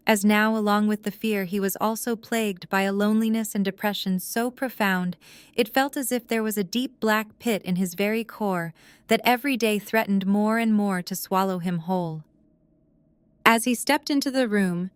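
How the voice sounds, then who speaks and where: A woman narrates scripted dramatic fiction in an audio drama. Her voice is smooth and clear at a middle pitch, and the recording is very dry. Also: dry recording.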